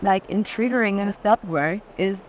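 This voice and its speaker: A woman in casual, reflective conversation about art, speaking haltingly with plenty of filler "like". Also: mid-range voice. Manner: halting, frequent use of "like". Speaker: curator in conversation. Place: art fair. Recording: noisy fair hall ambience.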